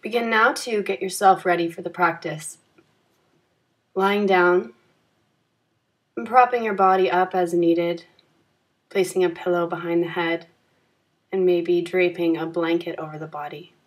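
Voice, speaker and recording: A woman leading a guided yoga nidra meditation in a calm, gentle, soothing manner with unhurried phrasing. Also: fairly low pitch.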